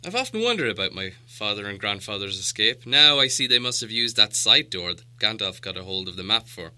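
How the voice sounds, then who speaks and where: Haughty dwarf chief, read by a man: gruff, imperious, hard bright edge, weighty delivery for a storybook. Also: fairly low pitch, expressive, fairly quick. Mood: brooding. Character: proud, gruff male dwarf leader, haughty and demanding. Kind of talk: storybook character voice.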